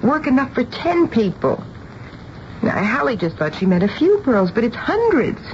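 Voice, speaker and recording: A caring, anxious wife telling her story in a radio play. Her mid-pitched voice moves widely as she speaks with earnest concern. The recording is muffled and a little noisy. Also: big pitch swings, normal speed. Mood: fretting. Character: worried, tender-hearted wife who also narrates the story. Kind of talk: radio drama acting and narration. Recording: dull, hissy old radio broadcast.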